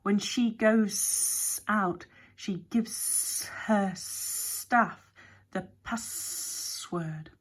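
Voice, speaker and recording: Woman doing a sardonic male snake-like creature, slow hushed drawl, slightly higher. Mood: acid, muttering.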